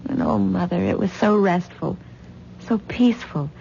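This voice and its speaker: A weary, hesitant young wife. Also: female voice, medium pitch, moderate pace, vocal fry, dark tone, a little hiss or hum. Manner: subdued, hesitant. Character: weary young wife, Tessa, leaving husband. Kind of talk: 1970s radio drama acting. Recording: dull old radio broadcast recording.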